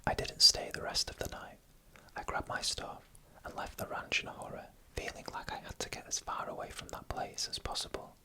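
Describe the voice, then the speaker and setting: A narrator reading scripted stories in an ASMR style, in a breathy, unvoiced whisper with hushed storytelling delivery. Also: fairly quick.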